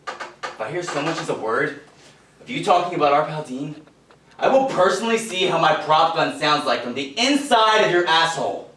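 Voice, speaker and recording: Actor as a menacing bully in an echoey theater. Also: male voice, fairly high pitch, expressive, a bit bright. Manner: threatening, deliberate, falsely friendly before hard commands. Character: menacing male radio performer bullying a younger colleague. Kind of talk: stage play acting. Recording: echoey stage, distant mic, faint noise.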